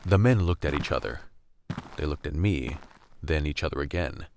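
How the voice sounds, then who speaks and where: Very deep, gravelly, weary survivor narrator played by a man. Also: lively intonation, moderate pace, background noise, full character voice. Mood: weary, wry. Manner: wry, brooding, world-weary. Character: weary, gritty young male survivor narrating a post-collapse story. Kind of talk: dramatic first-person radio drama narration.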